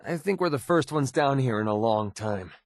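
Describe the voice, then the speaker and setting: Guy voicing a cynical young american merc sniper for a video game, wry n casual, medium pitch, super dry studio sound. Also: expressive, normal speed, dry recording, close to an everyday voice. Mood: businesslike, determined. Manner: sardonic, casual American slang. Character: wry young male mercenary sniper companion, cynical but loyal. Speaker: video game companion character. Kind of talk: scripted game voice acting.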